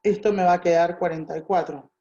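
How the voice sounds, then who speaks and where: A woman tutors chemistry, walking methodically through each calculation and thinking aloud as she goes. Her voice is low and mellow. The recording sounds dull and lo-fi. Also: fairly even intonation, a little fast. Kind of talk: tutoring lesson, explaining calculations step by step.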